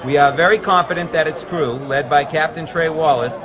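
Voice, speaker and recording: A man's voice: on the high side, fairly quick, recorded from a distance, a crowd in the background, natural voice. An actor playing a male town mayor who makes formal speeches to the public. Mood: placating.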